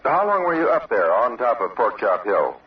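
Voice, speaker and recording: A man's voice: lowish voice, very animated, a little fast. A man working as a radio reporter, conducting an on-location news interview. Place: outdoor observation post. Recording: dull, distant old radio field recording.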